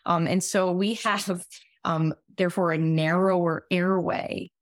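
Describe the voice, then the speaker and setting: A raspy-voiced woman in her thirties, a guest physician explaining at length in casual podcast talk, with many fillers and restarts. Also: lowish voice, fairly even intonation, unhurried, no room sound, studio quality. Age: 30s.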